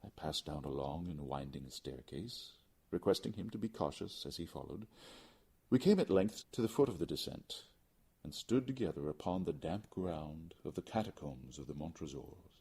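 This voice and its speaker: A man's voice: very deep voice, lively intonation, normal speed, creaky, dark tone, breathy edge. A man narrating a gothic short story as an audiobook reader, in a formal, theatrical manner.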